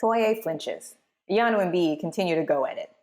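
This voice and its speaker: Woman, slightly low voice, articulate, voice director, hosting and narrating a table read. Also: animated, normal speed, darkish tone. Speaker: voice director and host.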